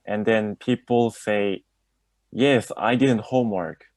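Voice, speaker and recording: A young man, a student speaking haltingly in a class discussion, filling pauses with "like" and "uh". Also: fairly low pitch, darkish tone, no echo. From Mongolia. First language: Mongolian. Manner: hesitant, fills with "like" and "uh".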